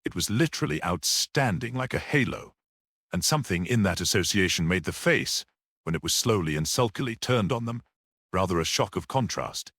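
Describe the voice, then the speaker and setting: A man's voice: sits low, expressive, normal speed, fairly bright tone, dry recording, clean studio sound. A man reading a mystery story aloud as an audiobook narrator.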